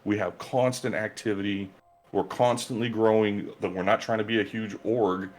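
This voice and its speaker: Low-voiced guy rambling earnestly about his gaming crew, grateful and chatty. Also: fairly even intonation, moderate pace. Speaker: guest, gaming group leader. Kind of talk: casual interview chat.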